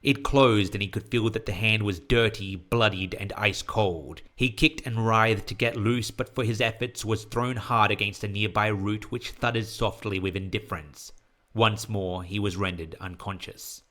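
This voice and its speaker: A man narrating scripted horror fiction in a measured, literary storytelling manner, as the author and host of an audio story podcast. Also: fairly low pitch, fairly even intonation, bright, forceful tone.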